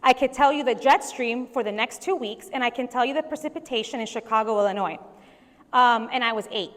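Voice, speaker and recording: A woman from Venezuela giving a conference keynote speech in a lively, animated way. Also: on the high side, brisk. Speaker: keynote speaker. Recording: long hall reverb.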